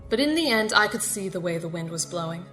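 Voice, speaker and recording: A woman acting in an audio drama as a controlled, condescending security captain with a formal, measured manner and polite menace. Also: lowish voice, expressive, over music, close to an everyday voice. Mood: coolly threatening.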